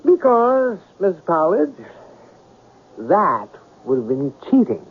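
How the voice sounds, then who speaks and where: Slow, theatrical writer. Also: male voice, high-pitched, wildly swooping pitch, heavily acted. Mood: wry, hesitant. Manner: dramatic, deliberate, lingering phrases. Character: theatrical storytelling male writer, proud and dreamy. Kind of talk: mystery radio drama acting. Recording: narrow tinny radio broadcast, faint hiss.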